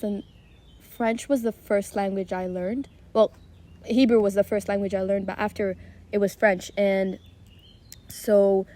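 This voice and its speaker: A woman's voice: mid-range voice, warm tone. A young woman, in her teens, with an American accent, speaking hesitantly in a casual podcast chat. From Israel. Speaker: podcast co-host.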